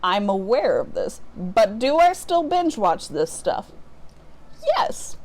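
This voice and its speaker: A woman's voice: medium pitch, sing-song, slow, darkish tone. A woman chatting casually to viewers as a book vlogger, talking in an informal, self-deprecating way.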